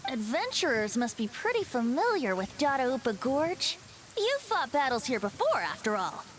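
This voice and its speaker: A woman's voice: high voice, very animated, normal speed, a little hiss or hum, low-quality recording. A woman voicing a playful, musing young bard in a video game, light and teasing.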